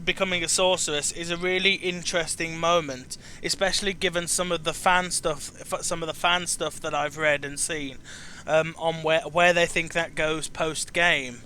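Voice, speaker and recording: Bright, clear, high-voiced man chatting. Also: fairly even intonation, moderate pace, slight background noise. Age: 20s. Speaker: interviewer and show host. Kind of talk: casual chat about video games.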